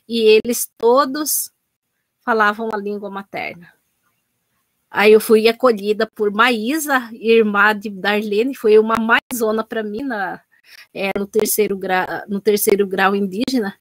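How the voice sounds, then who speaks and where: Middle-aged woman, researcher, halting unscripted delivery, mid-range voice, dry close sound. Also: medium pitch, a bit bright, dry recording. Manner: hesitant, many restarts and repetitions. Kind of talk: unscripted talk at an online event.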